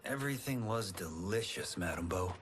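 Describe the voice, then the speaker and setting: Earnest, low-voiced young fighter. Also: male voice, animated, normal speed, noisy background. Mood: friendly. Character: mild, polite, earnest young male villager-fighter. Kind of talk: cinematic video game acting. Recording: loud music over the dialogue.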